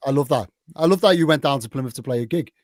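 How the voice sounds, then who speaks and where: A man's voice: mid-range voice, racing, dry recording, studio quality. A man of forty hosting a podcast, chatting enthusiastically and laughing easily. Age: 40s. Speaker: podcast host. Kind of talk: casual podcast chat.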